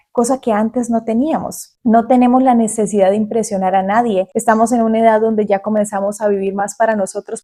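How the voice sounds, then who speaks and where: A woman in her forties from Colombia, speaking calmly and earnestly to the viewer in a reflective monologue, outdoors in the countryside. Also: medium pitch. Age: 40s. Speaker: vlogger. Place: outdoors in the countryside facing mountains.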